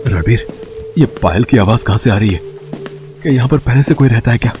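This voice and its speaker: A man playing a desperate, excitable treasure hunter in a dramatized audio story, with urgent delivery. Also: mid-range voice, fairly quick, over music, lightly acted. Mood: uneasy.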